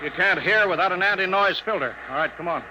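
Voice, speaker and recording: A man's voice: sits high, fast, close to an everyday voice. An American man acting a commanding, urgent chief engineer in a 1950s radio drama, giving clipped, authoritative orders. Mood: urgent, brisk. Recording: hissy vintage 1950s radio broadcast.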